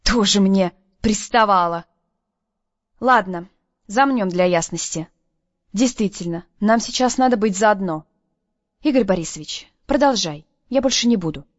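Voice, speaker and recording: Man voicing a sharp-tongued young woman. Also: high voice, no room sound, highs cut off, pitched above the performer's own voice. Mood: grudging. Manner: quick, sharp-tongued and emotional. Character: brash impulsive young woman captive. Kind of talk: audiobook reading.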